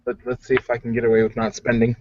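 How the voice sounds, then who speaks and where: A man's voice: medium pitch, monotone, racing, no room sound. A man bantering casually and wryly as a player in a tabletop roleplaying game over online voice chat. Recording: dull, dry lo-fi voice chat.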